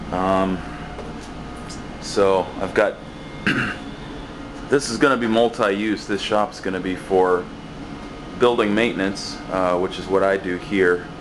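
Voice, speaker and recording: Low-voiced man rambling through a shop tour. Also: background noise. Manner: rambling, relaxed, many fillers and restarts. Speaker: building maintenance worker giving a shop tour. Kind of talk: casual walkaround tour. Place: cluttered basement workshop, indoors. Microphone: handheld camera mic, speaker off screen.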